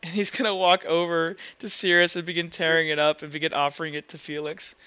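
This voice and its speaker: A man narrating a tabletop game as game master in a casual, improvised way, informal and halting as he thinks aloud. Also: on the high side, little pitch movement, pushed and bright, smooth, dry recording, muffled recording. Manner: informal, swearing, hesitant while improvising.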